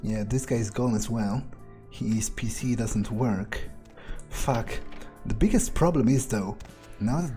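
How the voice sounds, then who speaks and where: A man playing a game in character, thinking aloud in a halting, musing way with casual swearing. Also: mid-range voice, mellow. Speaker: let's player speaking in character. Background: game music.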